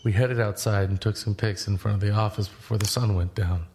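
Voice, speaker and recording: A man's voice: lowish voice, steady tone, a little fast, a little mellow, a little hiss or hum, close to an everyday voice. An actor playing an easygoing, weary cross-country walker, narrating his journey in a first-person audio drama. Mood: reflective, matter-of-fact. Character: easygoing weary man walking across the country. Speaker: narrator and lead character.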